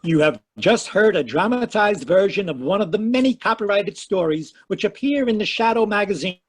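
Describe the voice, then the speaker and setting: A man's voice: high-pitched, animated, moderate pace. A man hosting and announcing a radio drama, formal with playful asides in the credits. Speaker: announcer and host. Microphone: home mic over video call.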